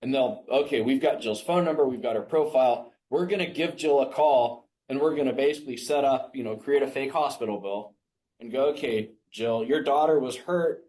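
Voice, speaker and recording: Man, podcast co-host, earnest warning tone, medium pitch, casual chat. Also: moderate pace, darkish tone, rough recording. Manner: earnest, warning tone, acts out scammer dialogue.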